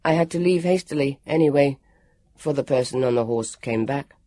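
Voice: mid-range voice, moderate pace, warm tone, no room sound, clean studio sound, natural voice. The narrator voicing a formal, solemn grown man who speaks stiffly and precisely.

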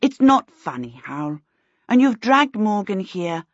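Lady doing a cross storybook wife, husky, fierce n sarcastic, telling someone off. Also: mid-range voice, big pitch swings, slow pace, no room sound, lo-fi sound, voice pushed up. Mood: fierce, scolding. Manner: fierce, passionate, cutting. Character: fierce, exasperated married woman, scolding and sarcastic.

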